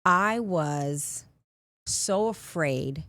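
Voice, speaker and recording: A raspy woman in her forties with a low voice talks candidly as a podcast guest. She chats through her story with asides and fillers. The recording is dry and close. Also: lively intonation, very slow, a bit bright, no room sound. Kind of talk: casual interview storytelling.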